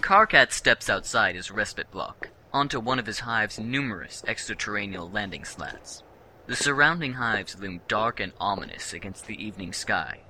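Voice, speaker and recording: A man's voice: fairly low pitch, animated, moderate pace, slight background noise, poor audio. A man playing a wry, formal narrator in a radio play, telling the story with mock-serious drama. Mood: ominous. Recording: loud music behind the voice.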